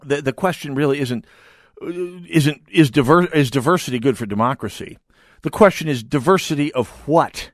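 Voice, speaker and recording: A man's voice: on the high side, moderate pace, dry recording, studio quality. A man hosting a talk radio show, speaking emphatically and wryly, with frequent restarts. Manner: emphatic, wry, restarts often. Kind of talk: talk radio monologue and calls.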